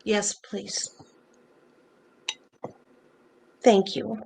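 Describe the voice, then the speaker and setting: A woman acting the part of a wounded but affectionate partner in a play reading, in a plain, measured manner. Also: mid-range voice, lively intonation, vocal fry, mellow, a little hiss or hum. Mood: guarded. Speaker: actor in a staged reading. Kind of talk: naturalistic stage play reading. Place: remote virtual reading over video call.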